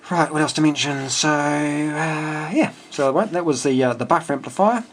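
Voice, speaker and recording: A man's voice: on the high side, normal speed, slight background noise. A man giving an informal technical walkthrough as a hobbyist builder, methodical and matter-of-fact, thinking aloud as he goes. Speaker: amateur radio builder explaining his circuit.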